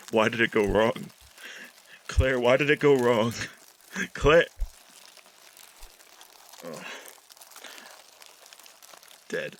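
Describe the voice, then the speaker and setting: A man playing a haunted, regretful figure who broods haltingly in a melodramatic retro radio drama monologue. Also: mid-range voice, fairly bright tone, a little hiss or hum. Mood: anguished. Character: haunted, regretful man brooding over his failed plan.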